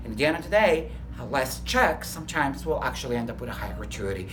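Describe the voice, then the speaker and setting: Man, Bulgarian accent, earnest, restaurant trainer being interviewed, mid-pitched, faint background music. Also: big pitch swings, quick pace, a bit bright. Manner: earnest, enthusiastic, with a few self-corrections. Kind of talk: workplace interview.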